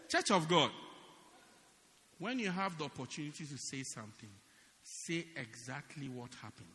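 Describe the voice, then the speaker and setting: Lively, bright-voiced man preaching. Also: on the high side, long reverb tail, a little hiss or hum. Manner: dramatic, swings from loud shouting to quiet asides. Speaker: pastor. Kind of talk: sermon. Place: church.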